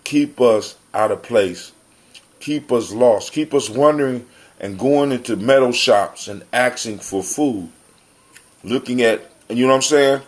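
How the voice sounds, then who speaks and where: A man's voice: medium pitch, measured pace. Casual American man hosting a call-in show. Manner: folksy, addresses listeners as family. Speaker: host of a live call-in show. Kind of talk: casual live community talk.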